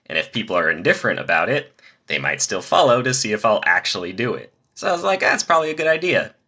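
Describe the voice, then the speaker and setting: A young man reading joke after joke on a live stream, pitching his voice low from the diaphragm to spare his nasal voice. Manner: rapid-fire joke delivery, lively.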